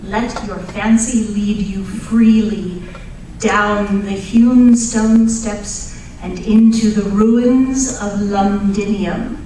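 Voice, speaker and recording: A woman announcing in a scripted old-time radio style, with a measured, theatrical delivery. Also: mid-range voice, dark tone. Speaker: announcer of a live radio play re-creation. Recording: very echoey live hall, distant mic, faint noise.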